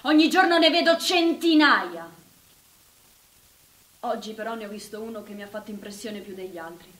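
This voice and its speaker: Shaken hospital nurse: fairly high voice, earnest wartime monologue, roomy sound with loud guitar behind. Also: female voice, lively intonation, normal speed, recorded from a distance. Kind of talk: dramatic monologue.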